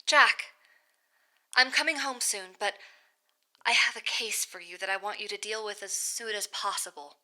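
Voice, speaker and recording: A woman in an audio drama playing a grave, guarded company manager who speaks formally and in a measured way. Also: medium pitch, pushed and bright. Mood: urgent, businesslike.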